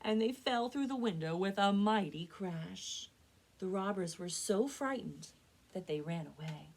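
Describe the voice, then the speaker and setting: A woman speaking as a children's librarian and storyteller, with an expressive, clearly enunciated read-aloud delivery. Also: mid-range voice, unhurried, rough recording. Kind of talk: children's storytelling and book recommendations.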